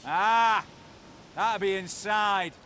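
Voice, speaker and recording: Suspicious rustic game guard, extremely high sharp voice, drawling, faint noise behind. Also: male voice, extremely slow, full character voice.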